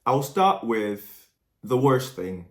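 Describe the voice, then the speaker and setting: A man's voice: medium pitch, sing-song, takes it slowly. A young man, a medical student whose first language is not Dutch, talking earnestly and encouragingly to his viewers in a casual vlog.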